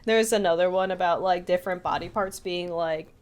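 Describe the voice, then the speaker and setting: A woman's voice: on the low side, brisk, a little mellow, smooth. A woman co-hosting a casual podcast, chatty and given to telling things as quoted back-and-forth. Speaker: podcast co-host.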